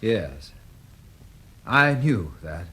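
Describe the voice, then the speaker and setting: An actor playing a flustered but kindly man in a radio drama, husky, fussy and repeating himself. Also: fairly low pitch, very animated, very slow, sharp tone, full character voice. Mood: concerned, soothing. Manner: repetitive, reassuring, fussy.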